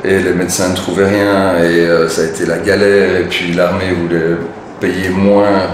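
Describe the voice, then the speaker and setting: A man in his forties with a Belgian French accent, a guest talking casually in an interview, relaxed and hesitant with many fillers. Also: low-pitched, normal speed. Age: 40s. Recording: echoey room, noisy, mic at a distance.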